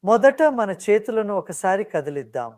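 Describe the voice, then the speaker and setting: A meditation guide speaks calmly and soothingly at a mid-range pitch. The instructions come in measured phrases with pauses between them. The sound is close and dry, with very little room echo.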